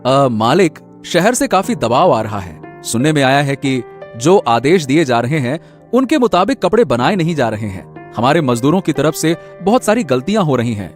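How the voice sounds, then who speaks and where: A man's voice: medium pitch, big pitch swings, normal speed, soft background music, close to an everyday voice. A man playing a respectful factory manager in a cartoon story, explaining things deferentially to his boss. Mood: concerned. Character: deferential, trusted male factory manager and devoted father.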